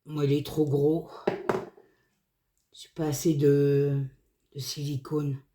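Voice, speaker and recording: A woman hoarse from a cold, chatting casually through a hands-on craft tutorial in short, unhurried phrases. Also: deep voice, flat delivery, heavy background noise. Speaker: craft video creator.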